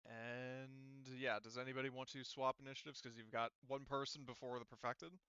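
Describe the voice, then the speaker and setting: Guy game mastering, bright clean voice, muttering through the steps, dry but kinda lo-fi audio. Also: medium pitch, flat delivery, normal speed, smooth, dry recording. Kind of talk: casual tabletop game running, thinking aloud.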